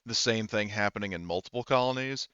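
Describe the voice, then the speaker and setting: A man narrating a tabletop roleplaying game as game master, explaining things informally and haltingly as he improvises. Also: on the low side, bright, forceful tone, smooth, dry recording, highs cut off.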